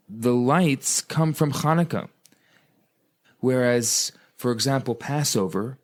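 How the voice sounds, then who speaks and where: A man, a rabbi explaining earnestly in a radio interview, using emphatic repetition. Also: medium pitch. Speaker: rabbi and co-host.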